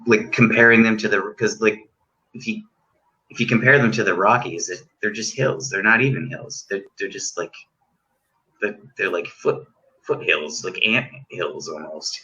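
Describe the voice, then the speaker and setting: Deep-voiced man chatting loosely. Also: moderate pace, sharp tone, muffled recording. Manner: rambling, many likes and restarts. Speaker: guest, musician. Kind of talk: casual chat.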